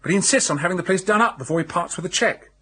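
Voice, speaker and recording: An actor playing a hard-up, lovelorn young English writer, hesitant, rueful and well-bred, in a radio drama. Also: male voice, high voice, racing, a bit bright, slightly rough. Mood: rueful, frank. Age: young adult.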